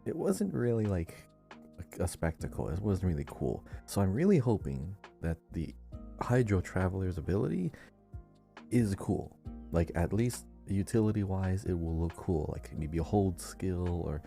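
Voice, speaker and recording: A man's voice: deep voice, animated, moderate pace, gravelly, warm tone, soft background music. A man co-hosting a gaming podcast, chatting casually. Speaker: podcast co-host.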